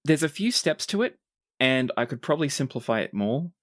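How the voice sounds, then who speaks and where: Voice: medium pitch, big pitch swings, brisk, no room sound. Game master with a dry, close sound. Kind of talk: explaining tabletop game rules and narrating.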